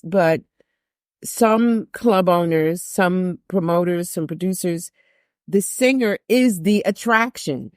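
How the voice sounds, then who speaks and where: Deep-voiced older woman, emphatic and candid. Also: slow pace, smooth, dry recording, clean studio sound. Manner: emphatic, repeats phrases for stress. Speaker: jazz singer, guest. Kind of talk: candid podcast conversation.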